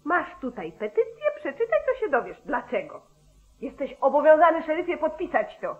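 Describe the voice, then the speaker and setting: An actress playing a strident, insistent petition campaigner in a 1940s radio play. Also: female voice, high voice, very animated, normal speed. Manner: insistent, preachy. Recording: thin, phone-like old radio recording.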